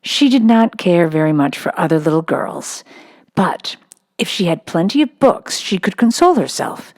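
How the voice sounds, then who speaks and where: Low-pitched woman narrating a children's storybook. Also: very animated, normal speed, darkish tone. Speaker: narrator reading a children's book.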